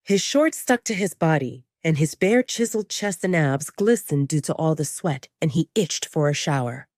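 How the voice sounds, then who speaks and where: A woman's voice: deep voice, lively intonation, normal speed, a little mellow, no room sound, studio quality. A woman narrating a scripted romantic fantasy audio drama as storyteller.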